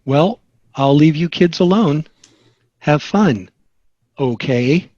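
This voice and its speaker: A man acts out a chipper, genial sitcom father, reassuring and upbeat. His voice is a bit high and husky, on a slightly dull, lo-fi recording. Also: animated, slow pace, a little mellow, no room sound. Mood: genial, firm. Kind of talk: sitcom table read. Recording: slightly dull, lo-fi online call.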